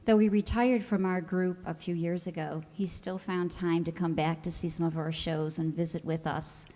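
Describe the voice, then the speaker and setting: A woman hosting a stage show, giving a prepared introduction in a measured, conversational way. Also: lowish voice, steady tone, mellow. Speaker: host introducing a radio players' stage show. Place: live performance hall before an audience. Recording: reverberant hall, live stage sound.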